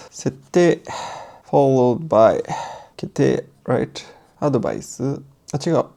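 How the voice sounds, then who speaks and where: A man's voice: mid-range voice, creaky, mellow. A man whose first language is Portuguese, giving a casual tutorial and often checking in with "right?". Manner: thinking aloud, muttering while writing; loose and chatty, asks "right?". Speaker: language teacher.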